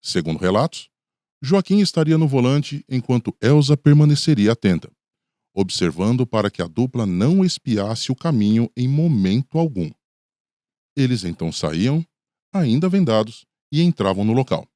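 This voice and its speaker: A Brazilian man narrates from a script with a mellow, soft-edged tone and lively swings of pitch. The sound is dry and close. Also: moderate pace, dry recording. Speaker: radio documentary narrator.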